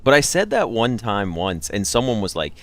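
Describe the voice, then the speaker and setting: Low-voiced man chatting on a livestream. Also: very animated, moderate pace, fairly bright tone. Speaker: livestream host. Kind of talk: casual chat with live stream viewers.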